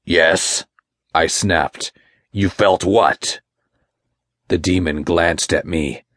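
Male actor as Satan-like demon king, very low voice, dry close sound, pompous, commanding, wrathful audiobook storyteller. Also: steady tone, drawn-out words, fairly bright tone, no room sound, full character voice. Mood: angry, scornful. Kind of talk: dramatic first-person audiobook narration.

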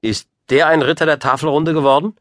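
A man's voice: a little high, steady tone, brisk, a bit bright, no room sound, studio quality, voice pushed up, close to an everyday voice. A man voicing an earnest, reserved young boy who asks direct questions in an audiobook. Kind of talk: audiobook character voice.

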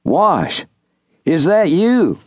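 Brief: man voicing a young man back home, grieving, urgent questions, measured storybook read. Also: high voice, very animated, darkish tone, no room sound, studio quality, pitched above the performer's own voice, full character voice. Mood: relieved.

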